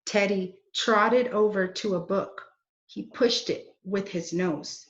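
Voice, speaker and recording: A woman reading a children's book aloud in a deliberate, expressive way. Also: medium pitch. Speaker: read-aloud storyteller. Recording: roomy, dull lo-fi recording.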